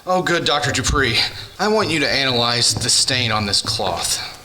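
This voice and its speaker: A man's voice: mid-range voice, lively intonation, normal speed, bright, forceful tone, rough recording. A man playing an urgent, decisive physicist in a radio drama, earnest and clipped. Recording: faint noise behind the voice.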